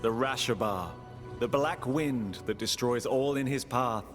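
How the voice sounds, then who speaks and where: A male actor playing a gracious, thoughtful young prince in a video game, with a courteous, measured formality. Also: mid-range voice, lightly acted. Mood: gracious, sincere. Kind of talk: dramatic video game acting. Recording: music behind the voice.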